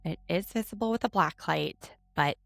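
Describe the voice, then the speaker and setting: Gravelly, low-voiced Southern woman. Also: moderate pace, no room sound, faint music behind. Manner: chatty, animated, playful asides. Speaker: podcast co-host. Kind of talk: casual recap chat.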